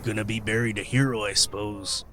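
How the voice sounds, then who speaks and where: An actor playing a weathered, gruff old sailor in a radio play, telling sea tales in folksy, hesitant rustic speech. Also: male voice, lowish voice, steady tone, brisk, bright, forceful tone, a little hiss or hum. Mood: grave, ominous.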